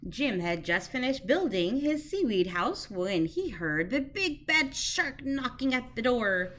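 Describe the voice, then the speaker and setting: A woman teacher reading a children's picture book aloud in an expressive storytelling manner. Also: a little high, measured pace, a little hiss or hum.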